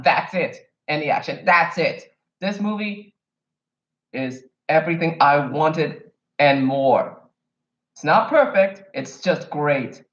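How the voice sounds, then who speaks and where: Rambling man from Kenya, echoey room. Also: on the high side. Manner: rambling, wanders off topic; enthusiastic fan, emphatic. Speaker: vlogger. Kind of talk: casual movie review monologue. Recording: echoey room, dull lo-fi sound.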